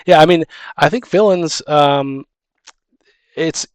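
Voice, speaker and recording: A man's voice: on the high side, slow pace, dry recording. A man hosting a casual tabletop gaming chat, rambling and restarting his phrases as he gives advice as a dungeon master. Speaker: podcast host and dungeon master.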